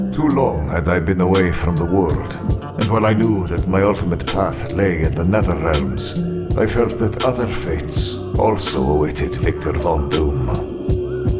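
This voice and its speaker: Proud villain monologue, deep register, imperious, brooding, audio drama, loud music bed. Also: male voice. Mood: brooding, grand. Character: proud, menacing male supervillain sorcerer-scientist recounting his rise. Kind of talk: dramatic scripted first-person narration.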